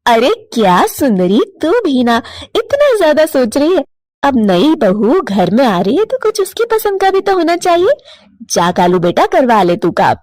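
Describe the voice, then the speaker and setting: A woman's voice: high voice, very animated, unhurried, darkish tone, no echo, clean studio sound. A woman voicing an outspoken, sensible middle-aged neighbour bird in a cartoon story, chatty and admonishing. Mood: chiding. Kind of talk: animated cartoon moral story.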